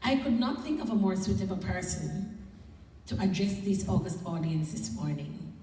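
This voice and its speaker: A woman acting as master of ceremonies gives a formal, measured introduction of a speaker. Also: mid-range voice, lively intonation, warm tone. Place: large indoor event room. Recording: echoey hall, distant, noisy.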